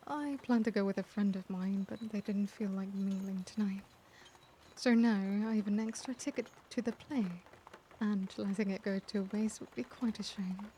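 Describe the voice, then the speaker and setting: Elegant vampire lady played by a woman, ASMR roleplay: relaxed dark tone, medium pitch, gentle, caring, formal manners. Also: slight background noise, close to an everyday voice, poor audio. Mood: courteous, inviting. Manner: genteel, gentle, doting, formal wording. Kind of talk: soft-spoken ASMR roleplay.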